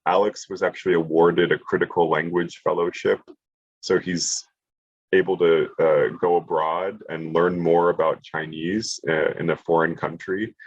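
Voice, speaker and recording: A man's voice: low-pitched, level tone, moderate pace, breathy edge, no room sound, highs cut off. A man giving a casual college prep workshop over a video call, with lots of fillers and restarts. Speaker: college admissions presenter. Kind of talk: online workshop presentation.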